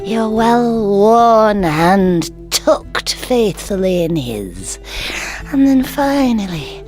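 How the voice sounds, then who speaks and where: A woman playing a gushing, lovestruck, homely goddess in a comedy audio drama, theatrical and fluttery. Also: medium pitch.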